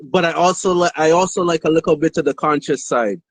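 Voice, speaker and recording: Relaxed Barbadian man, dancehall artist. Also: a little high, a little fast, slightly rough, no room sound. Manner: relaxed, rambling, fillers. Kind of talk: casual interview.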